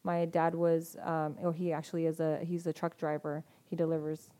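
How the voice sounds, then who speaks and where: A woman guest on a podcast talks casually about her past, pausing often and restarting her sentences. Her voice sits a little on the low side. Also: little pitch movement, mellow. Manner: hesitant, many fillers and restarts. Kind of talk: casual conversation.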